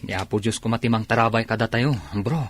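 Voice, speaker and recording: A man acting a steady, reassuring Filipino soldier in a radio drama. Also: medium pitch, fast talker, lightly acted. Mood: devout, calm.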